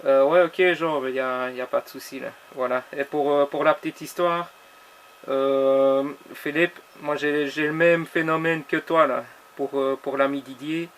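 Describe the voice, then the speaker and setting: A man with a Belgian French accent, an amateur radio operator, chatting casually and haltingly with repetitions. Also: fairly high pitch, steady tone, fairly bright tone. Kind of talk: casual ham radio chat.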